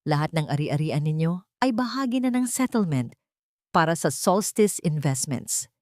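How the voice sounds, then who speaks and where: A woman's voice: sits low, sing-song, moderate pace, a little mellow, no echo, clean studio sound. Woman voicing stern, formal court sheriff. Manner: firm, formal.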